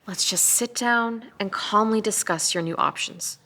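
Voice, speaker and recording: A woman acting a composed, firm police detective questioning a suspect in a measured way, in a crime drama table read. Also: medium pitch, sharp tone. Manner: measured, probing, professional.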